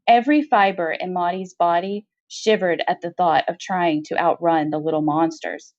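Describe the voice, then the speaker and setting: A woman reading a short story aloud as expressive scripted narration. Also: on the low side, normal speed. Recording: dull, roomy home recording.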